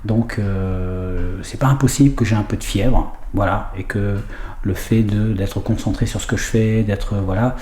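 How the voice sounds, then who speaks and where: Man with a cold, mellow voice. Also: on the low side, fairly even intonation, unhurried, echoey room. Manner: hesitant with many fillers, sometimes whispering. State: has a head cold. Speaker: paranormal investigator vlogger. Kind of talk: hesitant talk to camera. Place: large room in an old house, indoors.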